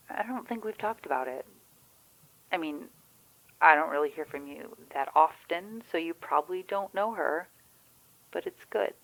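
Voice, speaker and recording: A woman's voice: sits low, creaky, poor audio. A woman playing a chatty, wry, anxious friend in a naturalistic audio drama, rambling candidly with the odd swear. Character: chatty, wry, anxious Michigan woman on the phone during zombie outbreak.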